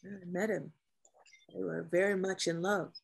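Woman playing guarded female naval officer, grieving, slow, creaky husky voice, table reading. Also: on the low side, fairly even intonation, heavily acted.

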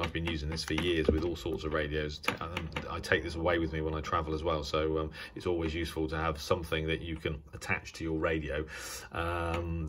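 A British man giving a casual, unscripted hobbyist demonstration, speaking haltingly and often restarting his sentences. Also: sits low, pushed and bright. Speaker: radio hobbyist presenting a video. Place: indoors at a table.

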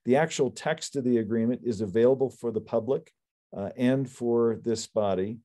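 An older man with a relaxed, mellow voice of medium pitch presents as a lawyer at a hearing. He speaks formally and deliberately, working through prepared points in order. He is on a video call from an indoor room. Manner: measured, deliberate, enumerating points. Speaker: attorney for appellants at a public hearing. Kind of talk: formal prepared legal summary. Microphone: computer video call microphone.

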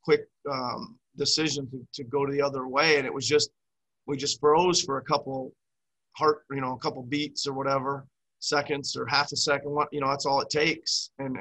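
A man's voice: medium pitch, fairly bright tone, low-quality recording. A middle-aged man, a basketball head coach, answering questions at a post-game press conference over a video call, rambling and restarting his sentences. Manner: rambling, repeats words and restarts. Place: small room before a team backdrop. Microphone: video call webcam.

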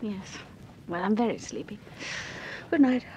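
An actress with a British accent playing a detective's affectionate, inquisitive wife in an old radio drama. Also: female voice, medium pitch, animated, unhurried, warm tone, close to an everyday voice. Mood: sleepy, teasing. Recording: faint noise, old radio recording.

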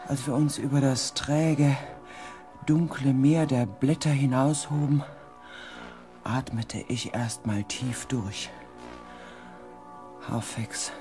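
An actor playing an anxious, reflective researcher who narrates a sci-fi radio drama in a tense, dramatic manner. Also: male voice, mid-range voice, lightly acted. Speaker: narrator and character. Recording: faint background ambience.

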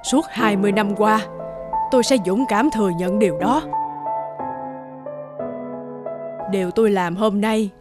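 Casting: actress as elderly female artist, stern and weighty, measured cartoon drama delivery over a score. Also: medium pitch, over loud music, natural voice. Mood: remorseful, resolute. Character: solemn, judgmental elderly woman painter. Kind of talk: dubbed cartoon drama acting.

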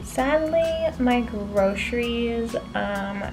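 Slow, chatty young woman vlogging. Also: fairly high pitch, poor audio. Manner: chatty, laughs often, relaxed and rambling. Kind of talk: casual vlog chat. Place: small quarantine apartment room, indoors. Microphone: camera on a desk, later handheld camera. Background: background music.

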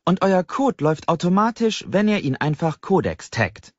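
A man voicing a brisk, commanding young woman project leader addressing a crowd in an audiobook, in a projected, organized announcing manner. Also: high-pitched, sing-song, dry recording, lo-fi sound, voice pushed up. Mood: rallying. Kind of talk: audiobook character voice.

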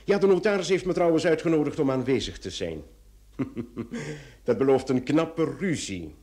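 Man acting a courteous, lively-toned police commissioner. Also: fairly high pitch, normal speed, natural voice. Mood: courteous, dry. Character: male police commissioner, courteous, dryly probing investigator. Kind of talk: old radio play acting.

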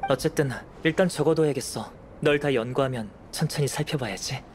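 An actor playing a calm, curious young male alchemist in a game, speaking in a measured, composed, articulate way. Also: medium pitch, slight background noise, lightly acted. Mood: thoughtful. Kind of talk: scripted game dialogue. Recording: music behind the voice.

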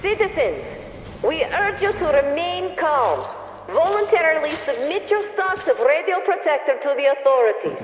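A woman voicing a dutiful army private in a video game, formal and measured. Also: high-pitched, very animated, faint music behind. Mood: official, firm. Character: dutiful female army private, crisp and official. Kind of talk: video game dialogue and announcements. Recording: echoey hall with clear noise.

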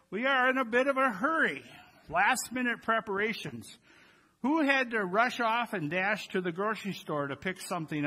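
High, bright-voiced older male pastor preaching. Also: slightly rough. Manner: conversational, addresses congregation with rhetorical questions. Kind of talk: Christmas Eve sermon. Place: church sanctuary, at the pulpit. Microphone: gooseneck pulpit microphones.

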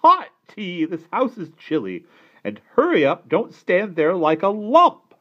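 Shrill, scolding old aunt. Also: male voice, wildly swooping pitch, measured pace, lo-fi sound, exaggerated voice. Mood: impatient scolding. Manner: complaining, bossy, exclaiming. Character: shrill, scolding, bad-tempered old aunt, never satisfied. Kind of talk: children's storybook read-aloud.

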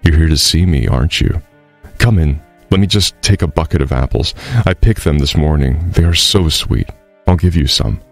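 An earnest young woman, warm and grieving, in a storybook reading, voiced by a man in a deep, gravelly voice. The lines come from close up and dry, with soft music underneath. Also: normal speed, full character voice.

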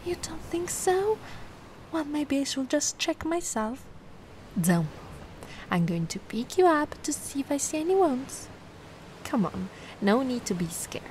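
A woman from Italy voicing a cute, kindly, anxious giant mermaid in an ASMR roleplay, flustered and softly cooing. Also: high-pitched, big pitch swings, unhurried, a little hiss or hum, rough recording. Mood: caring.

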